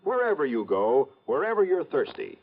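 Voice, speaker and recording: Cheery old-time radio ad guy, super animated, bit husky, dull sound. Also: a little high, normal speed. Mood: upbeat selling. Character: cheerful, easygoing male pitchman in a soft-drink ad. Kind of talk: 1950s radio commercial. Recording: dull old radio recording, faint music.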